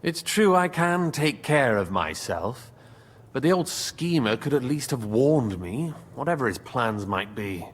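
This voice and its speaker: Sardonic, canny medieval lord for a game drama; slow, deliberate, theatrical, widely varied intonation. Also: male voice, medium pitch, big pitch swings. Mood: indignant. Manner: wry, theatrical. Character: wry, shrewd male medieval nobleman in hiding. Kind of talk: dramatic video game dialogue.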